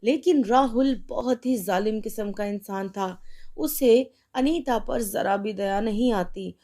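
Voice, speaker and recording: Slow-paced woman storyteller. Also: mid-range voice, warm tone. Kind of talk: scripted children's story narration.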